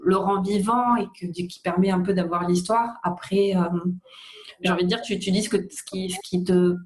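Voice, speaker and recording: A woman's voice: lowish voice, steady tone, moderate pace, a little hiss or hum. A woman hosting an interview, speaking hesitantly with many fillers and restarts. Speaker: interviewer, host. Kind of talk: casual interview.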